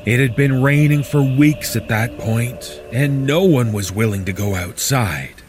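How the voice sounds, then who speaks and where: A man playing a weary, bitter disaster survivor, narrating a horror story in the first person with deliberate, dramatic pauses. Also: mid-range voice, slight background noise, natural voice. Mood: bitter, frustrated.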